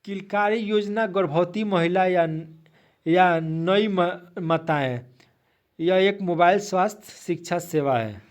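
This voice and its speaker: A man teaching an exam-prep quiz, reading out questions haltingly and repeating the answers to his listeners. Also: sits high. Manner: repetitive, asks then restates answers, addresses listeners as friends.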